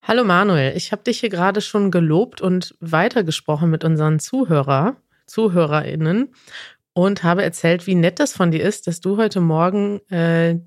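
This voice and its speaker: A woman's voice: on the low side, moderate pace, no echo, clean studio sound. A woman, co-hosting a casual podcast chat and talking in an emphatic, self-correcting way. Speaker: podcast co-host. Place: new office.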